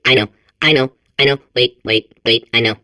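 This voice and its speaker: A man's voice: mid-range voice, fairly even intonation, normal speed, sharp tone, dry recording, clean studio sound. A man voicing a manic, childlike robot in a video game, stuttering and compulsively repeating words. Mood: frantic excitement. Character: manic, childlike male robot sphere obsessed with space. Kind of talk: video game character acting.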